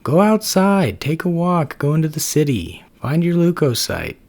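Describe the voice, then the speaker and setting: A man's voice: on the high side, very animated, normal speed, darkish tone, pitched above the performer's own voice. A man doing a goofy laid-back macrophage dude. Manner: easygoing, slangy drawl. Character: hungry dim-witted male macrophage cell, laid-back.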